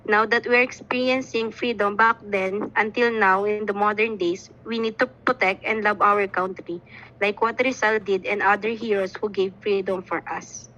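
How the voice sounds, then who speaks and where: A woman's voice: mid-range voice, fairly even intonation, normal speed, sharp tone, slight background noise. A young Filipino woman with a Filipino accent, reading a prepared answer on a student panel. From Philippines. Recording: dull lo-fi recording.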